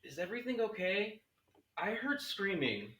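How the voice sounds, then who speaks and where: A man's voice: high voice, very animated, normal speed, sharp tone, exaggerated voice. A man playing an anxious, bewildered man in a horror screenplay table read. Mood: worried. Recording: roomy, echoing, distant from mic.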